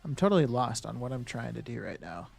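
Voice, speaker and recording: A man's voice: mid-range voice, big pitch swings, fast, gravelly, a little mellow, faint music behind. A man live-streaming a game, chatting casually with viewers. He thinks aloud and answers chat with lots of fillers and restarts.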